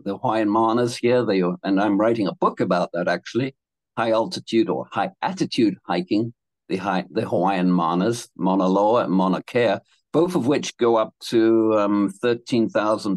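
Older man, from England, low voice, dry close sound, rambling guest storytelling. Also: moderate pace, no room sound. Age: 70s. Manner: rambling, laughs between thoughts, many restarts. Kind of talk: casual interview storytelling.

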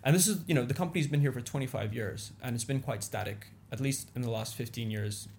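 A man's voice: mid-range voice, fast, creaky, darkish tone, a little hiss or hum. A young man whose first language is Indonesian, a business owner speaking as a guest in a casual interview, rambling with lots of "you know" and "like". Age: young adult.

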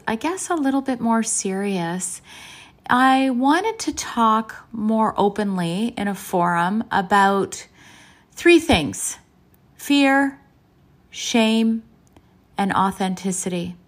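A woman's voice: fairly high pitch, animated, slow pace. A woman in her fifties, a nutrition and lifestyle vlogger, talking candidly and thoughtfully to her viewers. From Canada. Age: 50s. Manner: reflective, open and thoughtful. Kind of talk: candid casual talk to camera.

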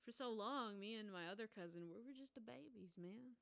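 A woman's voice: mid-range voice, moderate pace, vocal fry, a bit bright. Profane, rambling woman in her twenties. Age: 20s. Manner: casual, profane, rambling with fillers. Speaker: podcast host. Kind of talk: casual solo podcast rant.

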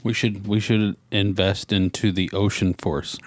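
An American man co-hosting a podcast in casual chat, laconic and deadpan. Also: on the low side, measured pace, no echo. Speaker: podcast co-host.

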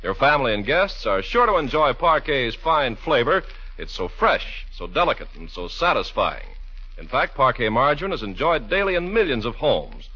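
An American male radio announcer with lively, rising and falling pitch and a husky edge. He delivers polished, emphatic 1940s sales patter on a dull old recording. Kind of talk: 1940s radio advertising and narration.

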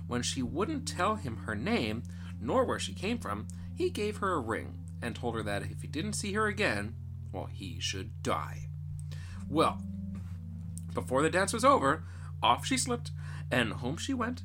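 A man tells a fairy tale with playful theatricality. His pitch leaps about constantly, and prominent music runs behind the narration. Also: wildly swooping pitch, a little slow. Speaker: storyteller. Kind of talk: animated storybook narration.

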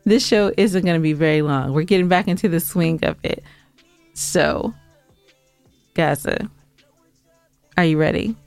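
A woman's voice: on the low side, unhurried, long pauses, a little mellow, smooth, no room sound, soft background music. A woman co-hosting a podcast in casual, playful, teasing chat. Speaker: podcast co-host.

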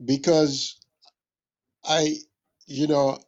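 A man from West Africa explains things in an interview in a deliberate, scholarly way, repeating phrases for emphasis as a musician and teacher would. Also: on the high side, flat delivery, a little mellow, highs cut off. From West Africa (Mali). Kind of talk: reflective interview talk, explanatory.

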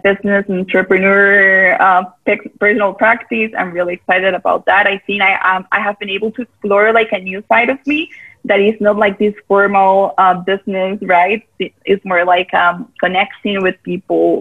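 A woman talks over a video call, her voice thin and narrowed like a phone line. Her pitch sits in a middle range. She rambles in a friendly way, scattering "like" through her sentences. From Costa Rica. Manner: chatty, many "like" fillers, loose grammar. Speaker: guest, part-time yoga teacher. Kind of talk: casual interview chat.

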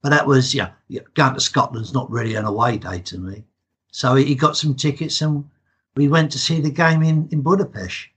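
Retired English man in his sixties, slightly husky, telling his stories casually and plainly. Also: mid-range voice, normal speed. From England. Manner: chatty storyteller, relaxed and matter-of-fact. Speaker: podcast guest. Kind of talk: casual interview chat.

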